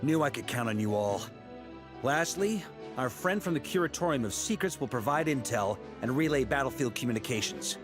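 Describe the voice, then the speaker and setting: An actor playing a seasoned, confident knight commander laying out a plan. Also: male voice, medium pitch, a little fast, natural voice. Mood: commanding. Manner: steady, assured, commanding. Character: seasoned male knight commander, calm planner. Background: game music.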